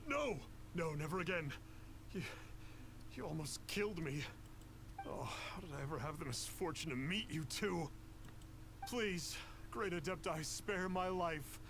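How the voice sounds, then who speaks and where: A man's voice: on the high side, expressive, measured pace, background noise. A man voicing a pompous young male fake exorcist in a video game, grandiose and archaic in speech, turning to pleading when cornered. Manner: grandiose, archaic self-address, then pleading. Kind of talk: game cutscene voice acting. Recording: game audio with music behind.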